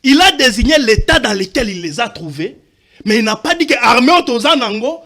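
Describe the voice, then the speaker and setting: A man's voice: extremely high pitch, lively intonation, brisk, sharp tone. A man from Katanga arguing insistently in a heated TV debate, talking over the others and mixing French with Lingala. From Katanga, DR Congo. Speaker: debate panelist.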